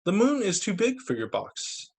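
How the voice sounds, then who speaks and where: A man's voice: high voice, huge pitch swings, normal speed, creaky, a little mellow, slightly rough, pitched above the performer's own voice, exaggerated voice. A man voicing a helpful magician in a children's storybook, assured and explanatory. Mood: reassuring.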